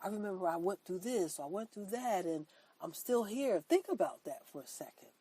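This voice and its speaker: A woman with a slightly congested, stuffy voice delivers a motivational faith talk. Her tone is mellow and dark, and she repeats her points with earnest emphasis, often adding "that's right." The sound is close and dry. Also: medium pitch, lively intonation, normal speed, breathy edge, no room sound. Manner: earnest, repetitive, emphatic preaching; affirming herself with "that's right". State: congested from a cold. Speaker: podcast host.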